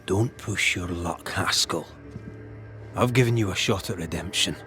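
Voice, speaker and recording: An actor playing a weary, blunt ghost hunter in a video game, stern and accusing, with measured, emphatic delivery. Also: male voice, deep voice, over music. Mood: warning. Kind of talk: dramatic video game acting.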